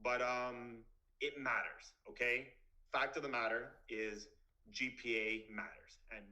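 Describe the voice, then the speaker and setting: A man's voice: medium pitch, level tone, measured pace, pushed and bright, low-quality recording. A man in his twenties from Miami, a dentist giving a blunt, casual talk to students over a video call. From Miami, Florida. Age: 20s. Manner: blunt, straightforward, casual with fillers. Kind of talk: online presentation to students.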